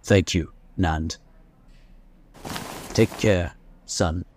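A man's voice: sits low, steady tone, very slow, a little mellow, slight background noise. An actor playing a wary, protective father in a sci-fi audio drama, hesitant and plain-spoken. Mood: reluctant. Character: wary, protective father reluctantly parting with his son.

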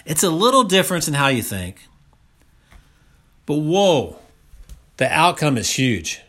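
A man's voice: on the high side, sing-song, measured pace, a bit bright. A man of about sixty giving a motivational health talk, speaking emphatically and repeating key phrases for stress. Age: around 60.

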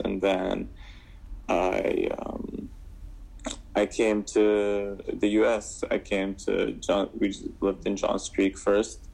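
Low, gravelly young man, halting. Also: a little mellow, low-quality recording. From Syria. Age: 20s. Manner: hesitant, many fillers and restarts. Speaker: guest. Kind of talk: casual interview answers.

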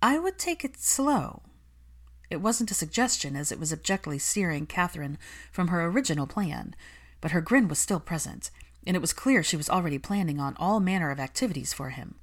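A woman reading fan fiction as an audiobook narrator, in expressive, measured scripted storytelling. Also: low voice.

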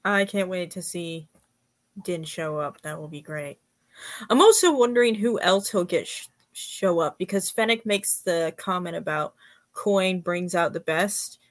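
A woman's voice: on the low side, a little slow. Woman podcast guest in casual chat.

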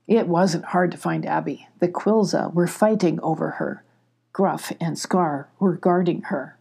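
An older woman narrates for children in a mellow, husky voice. She hosts her own story time and talks straight to the listener in simple, inviting phrases. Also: on the low side, unhurried. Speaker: host and author reading a children's story.

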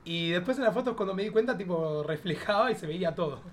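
A man's voice: sits high, expressive, fast talker. A man with an Argentine accent telling anecdotes as a podcast guest, chatty, slangy and rambling, with a campy manner. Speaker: podcast guest, drag performer. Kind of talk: casual anecdotal chat.

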